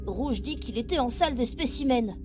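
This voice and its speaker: Une femme qui joue un garçon de sept ans sérieux et inquiet, candide et vite excité, stagiaire spatial dans une fiction audio. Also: female voice, on the high side, normal speed, soft background music, lightly acted. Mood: accusing. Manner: wide-eyed, earnest, excitable. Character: earnest, anxious seven-year-old boy, space crew intern. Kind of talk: audio drama acting.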